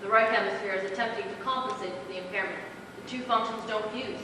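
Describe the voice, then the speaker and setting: A woman on stage playing a cool, authoritative police psychologist, explaining in a clinical, measured way. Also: mid-range voice, natural voice. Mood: clinical, explanatory. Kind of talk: live amateur stage play acting. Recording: echoey hall from far off, noisy.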